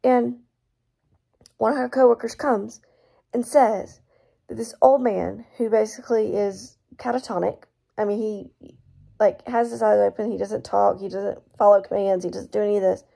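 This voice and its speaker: A young woman, hoarse from losing her voice, chatting casually and emphatically about a book she is reading, recorded on her phone at home. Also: mid-range voice, steady tone, moderate pace, warm tone. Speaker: book vlogger. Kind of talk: casual book review vlog. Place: small room at home, indoors. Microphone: phone front camera at arm's length.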